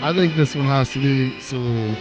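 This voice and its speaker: A man's voice: mid-range voice, moderate pace, over music, poor audio. A man speaking casually as a musician guest playing along on a talk show game. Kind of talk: casual chat.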